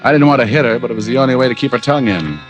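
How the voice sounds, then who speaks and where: An actor playing a hard-boiled private detective who narrates with deadpan wisecracks in a 1950s radio drama. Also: male voice, mid-range voice, rapid-fire, dull audio, natural voice. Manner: wisecracking, deadpan tough-guy patter. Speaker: narrator and lead. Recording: old radio recording with band music.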